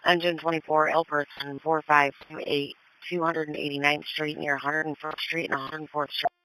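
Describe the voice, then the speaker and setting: A man's voice: fairly high pitch, level tone, normal speed, sharp tone, clear, resonant tone, no echo, low-quality recording. A man working as a dispatcher, calling out units and addresses over two-way radio in a clipped, procedural manner. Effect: two-way radio transmission. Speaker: police and fire dispatcher. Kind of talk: scanner radio dispatch call-outs.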